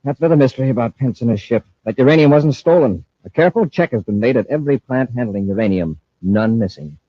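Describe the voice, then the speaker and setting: Casting: man playing authoritative space patrol commander, fast delivery, radio-serial style, muffled old recording. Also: medium pitch, no echo, close to an everyday voice. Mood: explaining. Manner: authoritative, deliberate, explanatory.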